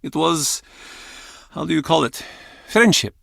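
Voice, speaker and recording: A man's voice: a little high, a little slow, gravelly, fairly bright tone, no room sound, studio quality, full character voice. A man playing a bitter, cranky ex-sailor in a video game, with a brusque, mocking, theatrical manner. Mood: bitter, brooding. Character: gruff, bitter Icelandic ex-sailor, cranky menacing male pub regular. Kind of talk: video game character acting.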